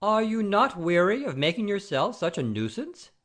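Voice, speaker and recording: A man's voice: sits high, very animated, moderate pace, a bit bright. An actor playing a pompous, easily offended noble father-in-law in a classic comedy audio play, haughty and blustering. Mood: haughty, scolding.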